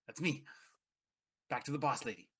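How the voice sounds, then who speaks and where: A man with a bright, forceful voice at an average pitch chats casually as a game master and player. His manner is dry and self-deprecating as he introduces himself on a gaming stream. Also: moderate pace, husky.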